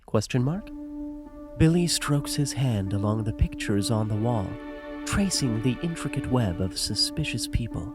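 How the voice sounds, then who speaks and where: Animated, wry male narrator over music. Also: mid-range voice. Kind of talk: playful scripted storytelling, conversational.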